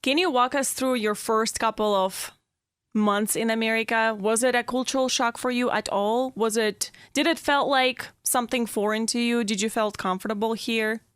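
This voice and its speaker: A woman's voice: on the high side, fairly even intonation, normal speed, clean tone, no echo, studio quality. A young woman hosting a podcast interview, encouraging her guest with frequent mm-hmms and exclaimed wows. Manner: encouraging, frequent mm-hmm and wow. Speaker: podcast host. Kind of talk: casual interview.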